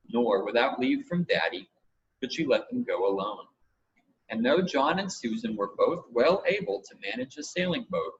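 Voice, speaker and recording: A man narrates a children's storybook in an expressive, measured manner. His mid-pitched voice moves up and down with plenty of variety. The recording is slightly dull, with faint noise behind it. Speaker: audiobook reader.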